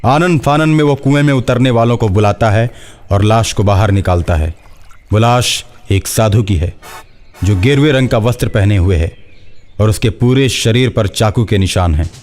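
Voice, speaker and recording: Low-voiced male story narrator. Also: moderate pace, a little mellow. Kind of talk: scripted horror story narration.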